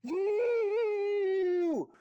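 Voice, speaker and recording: A man voicing an excitable, brusque store manager in an emphatic, shouting manner for a comic story. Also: sits high, fast talker, highs cut off. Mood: exasperated, shouting. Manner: screaming, emphatic.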